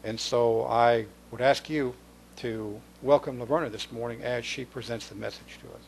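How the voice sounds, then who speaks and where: A man pastor introduces the morning's lay speaker from the pulpit, gracious and a little halting, restarting phrases. Faint background noise hums under his voice in a small church. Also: medium pitch, fairly even intonation, gravelly, rough recording. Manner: gracious, repeats words when starting. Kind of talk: church announcements. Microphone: pulpit microphone.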